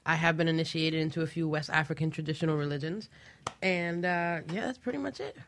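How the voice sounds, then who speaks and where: A woman's voice: low-pitched, a little fast, a bit bright, slight background noise. A woman speaking as a panel guest, explaining personal beliefs in a casual, conversational way. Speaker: panel guest, magic practitioner.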